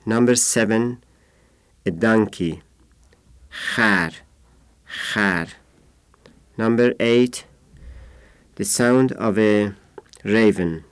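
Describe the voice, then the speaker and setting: Iranian guy mid 30s, native persian speaker, reads word lists super slow, dry recording. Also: on the low side, clear, resonant tone, dry recording. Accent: Iranian (Yazdi). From Yazd, Iran. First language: Persian (Yazdi dialect). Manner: deliberate, isolated words with numbered glosses. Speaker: language informant. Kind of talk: reading a word list aloud.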